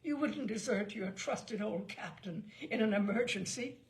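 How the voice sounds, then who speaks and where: A woman's voice: mid-range voice, a little slow, lightly acted. A woman voicing a bluff, fat old ship's captain who declaims and cajoles emphatically in a storybook reading. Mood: booming, cajoling.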